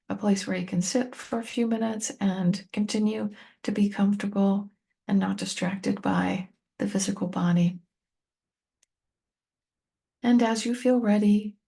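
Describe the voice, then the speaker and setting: Husky, creaky woman guiding a meditation. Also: medium pitch. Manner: gentle, unhurried instruction in short phrases with pauses. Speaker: meditation teacher and tapping coach. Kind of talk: guided meditation, addressing the listener.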